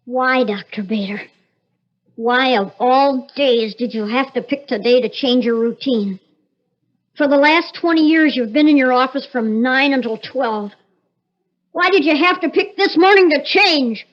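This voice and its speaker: High-voiced, desperate little scientist. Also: male voice, a little slow. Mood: despairing. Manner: insistent, agitated, pleading. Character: desperate, demanding shrinking male scientist on the telephone. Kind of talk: 1950s radio drama acting. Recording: slightly dull old radio recording.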